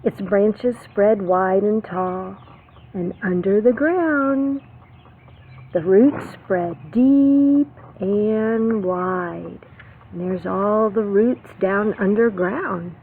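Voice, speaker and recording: A woman preschool teacher reading a picture book aloud to young children, expressive, with simple explanations and questions. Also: medium pitch, extremely slow. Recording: phone-like narrow band, faint background noise.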